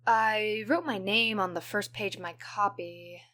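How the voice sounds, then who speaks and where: Actress as tidy bookworm student girl in an audio drama, mid pitch, bright crisp tone. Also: fairly even intonation, unhurried. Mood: dry. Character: Clove, neat, studious female student roommate.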